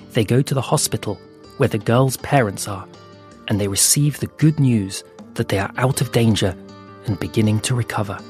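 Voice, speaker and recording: A man narrating an audio fable in a slow, hushed storytelling manner with dramatic pauses. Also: medium pitch. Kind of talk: scripted audio fiction narration. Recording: soft music underneath.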